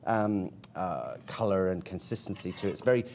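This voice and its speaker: A man from Malaysia giving a relaxed cooking demonstration on a TV show, chatty and lingering over his food descriptions. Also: low voice, moderate pace, slight background noise. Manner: chatty, lingering on food words with many ums. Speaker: cooking guest. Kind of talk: casual cooking demonstration chat. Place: TV studio kitchen.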